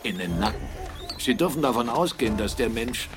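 A man playing a brisk, inquisitive captain in a German radio drama, blunt and insistent as he points things out. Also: medium pitch, lively intonation, noisy background, natural voice. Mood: grim, insistent.

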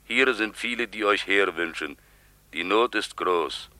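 A man's voice: on the low side, measured pace, pushed and bright, no room sound. An actor playing a neighbour who reads out his grave letter with sombre urgency in a radio play. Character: male neighbour writing a grave letter from homeland.

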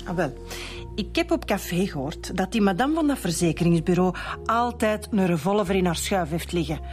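A woman's voice: fairly low pitch, animated, normal speed, soft background music, lightly acted. An actress with a Flemish accent playing a chatty, nosy mother who is proud of her snooping, in a radio drama. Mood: pleased, gossipy.

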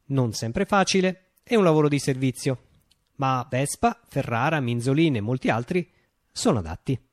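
An actor in a theatrical radio monologue, playing an eccentric self-styled ruler who declaims decrees and haltingly corrects himself. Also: male voice, mid-range voice, lively intonation, dry recording, clean studio sound, close to an everyday voice. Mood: solemn, mocking.

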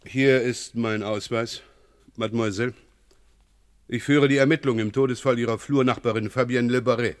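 Measured, formal police inspector, played by a man. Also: medium pitch, fairly even intonation, close to an everyday voice. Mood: polite probing. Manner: measured, formal, probing questioning. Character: unhurried, exacting male police chief inspector in Paris. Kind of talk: 1980s German radio crime drama acting.

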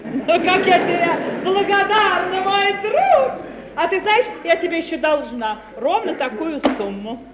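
A woman's voice: very high-pitched, lively intonation, a little slow, over a noisy crowd. An actress playing a coquettish, miserly older wealthy aunt who gushes and repeats her phrases in a 1950s radio comedy. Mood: gushing. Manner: gushing, repeats phrases theatrically. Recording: old, hissy, roomy radio play recording.

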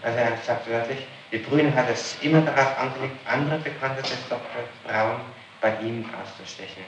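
A man's voice: medium pitch, moderate pace. A man speaking as a public prosecutor, questioning formally and insistently in an official manner. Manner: insistent, official, citing witness statements. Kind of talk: formal interrogation. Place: echoey room, taped interrogation. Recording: noisy, echoey archival tape.

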